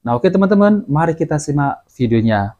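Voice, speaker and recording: A man's voice: a little high, sing-song, a little fast, dark tone, lo-fi sound. A man hosting a religious commentary, addressing his viewers directly and explaining in a didactic way. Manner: didactic, repeats and explains clips. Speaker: channel host and commentator.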